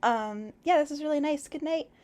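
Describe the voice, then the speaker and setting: A woman hosting a casual livestream read-aloud, chatting and reading in an expressive, effusive, giggly way. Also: high-pitched, moderate pace. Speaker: livestream host reading fan fiction aloud.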